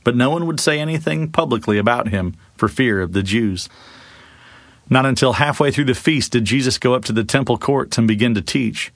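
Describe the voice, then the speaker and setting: Male scripture reader, mid-pitched, expressive narration, close dry sound with no room. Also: fairly quick, no echo. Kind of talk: Bible reading, narration with dramatized dialogue.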